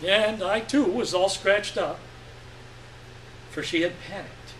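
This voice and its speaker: A man giving an expressive, deliberate dramatic reading of literary fiction on stage. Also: high voice. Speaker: story reader. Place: live stage reading event. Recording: echoey room, distant mic, faint noise.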